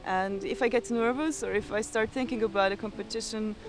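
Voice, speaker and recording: A woman's voice: mid-range voice, fairly even intonation. Croatian-accented woman pianist, thoughtful. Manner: thoughtful, with frequent pauses and repeated words. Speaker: competition-winning pianist. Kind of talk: reflective interview answers. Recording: music playing underneath.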